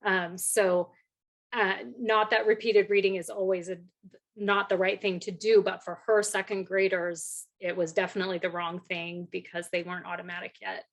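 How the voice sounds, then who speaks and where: A woman hosting an online lecture, calm and checking in on speakers. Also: mid-range voice, normal speed, rough recording. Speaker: lecture host.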